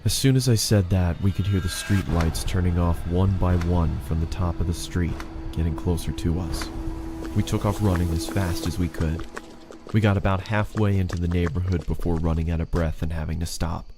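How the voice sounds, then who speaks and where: A man's voice: sits low, steady tone, normal speed, music in the background. A man reads a scripted first-person horror story as its narrator.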